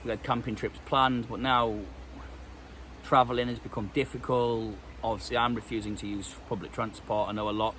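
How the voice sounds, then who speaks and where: A man's voice: mid-range voice, flat delivery, normal speed, a bit bright, a little hiss or hum. A British man with a stuffy cold, chatting casually in a vlog with lots of ums and restarts. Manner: rambling, many ums and restarts. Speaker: vlogger. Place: outdoors in woodland.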